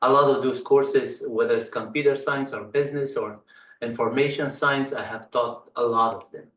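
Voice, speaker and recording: Middle-aged tutorial instructor from Afghanistan, native Persian speaker, halting delivery with fillers, flat tone, far-off echoey room. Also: male voice, medium pitch. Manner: halting, many ums and uhs. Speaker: computer science instructor. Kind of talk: screen-share tutorial walkthrough.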